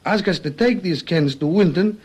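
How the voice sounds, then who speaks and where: An actor playing a guarded foreign suspect, stiff and defensive, in an old-fashioned radio drama. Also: male voice, sits high, moderate pace, a little mellow, breathy edge. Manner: stiff uncontracted phrasing, defensive. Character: guarded foreign male suspect under questioning.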